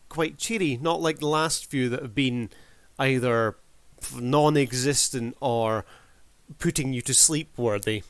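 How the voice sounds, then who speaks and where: A man's voice: on the high side, fairly bright tone, no room sound. A man hosting a casual video review, commenting in a showman-like way as he addresses his viewers.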